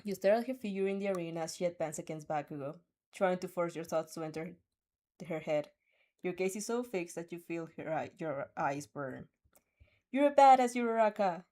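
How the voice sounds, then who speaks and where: A woman reading fan fiction in an animated storytelling style, sometimes stumbling over words and stepping out of the script. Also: fairly low pitch, fairly quick. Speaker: fan fiction reader and dubber. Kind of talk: expressive storytelling with off-script asides.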